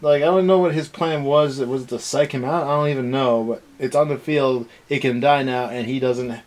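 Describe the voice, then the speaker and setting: A man's voice: medium pitch, fairly even intonation, normal speed, a little mellow. Rambling, sarcastic man co-hosting a review. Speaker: co-host of an anime review show. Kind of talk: casual ranting review chat.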